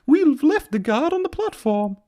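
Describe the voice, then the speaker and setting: A man's voice: very high voice, sing-song, moderate pace, dark tone, voice pushed up. A man voicing a sweet, anxious female railway coach in a children's storybook. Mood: distressed, sobbing.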